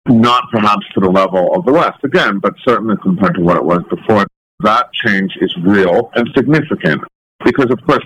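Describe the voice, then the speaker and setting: Deep-voiced American-born man, measured and articulate. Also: a bit bright, no room sound, rough recording. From United States. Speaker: interview guest, documentary photographer. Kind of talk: thoughtful interview answers.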